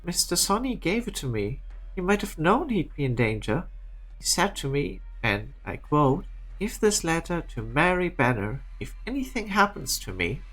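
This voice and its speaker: A woman's voice: low voice, very animated, normal speed, rough recording. A woman voicing a cool, impatient, calculating character in a game fan dub. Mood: sly, probing.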